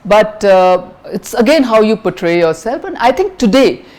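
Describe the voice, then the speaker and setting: A middle-aged woman from India with an Indian English accent and a high-pitched voice, answering interview questions frankly, with lots of fillers and restarts. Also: big pitch swings, moderate pace, darkish tone. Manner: frank, many fillers and restarts, mixes in Hindi phrases.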